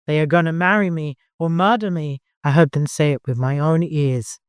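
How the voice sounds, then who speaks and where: A young heroine in an audiobook , acted by the narrator, frightened yet defiant. The delivery is earnest and emotional at a middle pitch, recorded close and dry. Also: fairly even intonation, moderate pace, clear, resonant tone, dry recording, natural voice. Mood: tearful despair.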